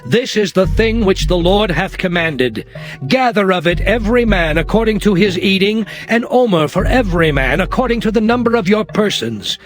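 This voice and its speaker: A stern bible prophet, pretty high voice, declaiming every line, soft music behind. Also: male voice, expressive. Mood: earnest, instructing. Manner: declamatory, emphatic, deliberate. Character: Moses, earnest, stern male prophet and leader. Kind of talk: dramatized scripture audio acting.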